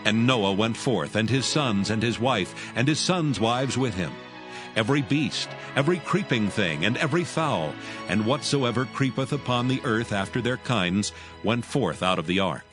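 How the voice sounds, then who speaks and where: A man narrating scripture from a script in a measured, solemn way. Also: medium pitch, lively intonation. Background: music.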